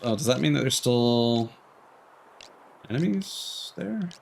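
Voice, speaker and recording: A man's voice: mid-range voice, expressive, slow, creaky, a little hiss or hum. A man doing casual live commentary as he streams a video game, musing to himself and often trailing off mid-thought. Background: game music.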